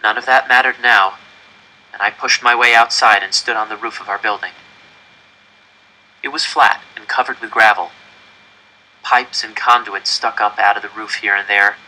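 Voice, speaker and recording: A man reading a first-person story aloud in an expressive, dramatic storytelling style. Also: on the low side, rough recording. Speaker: audiobook reader.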